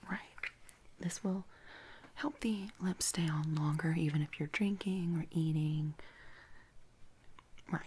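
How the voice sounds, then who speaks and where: A woman whispering gently and haltingly in a soft-spoken ASMR personal-attention roleplay, playing an attentive makeup artist. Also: low voice, a little hiss or hum. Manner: gentle, hushed, unhurried, halting with soft pauses.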